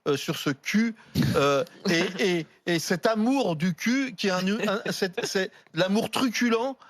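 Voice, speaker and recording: A man speaking as a radio panellist, impassioned and halting, often repeating words for emphasis. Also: high-pitched, no room sound. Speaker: talk show panellist, writer.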